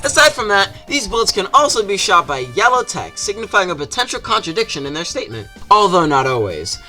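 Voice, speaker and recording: A man's voice: high voice, big pitch swings, a little fast, bright, forceful tone. A man narrating a comedic scripted video game review with a sarcastic, exaggerated delivery. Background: music behind the voice.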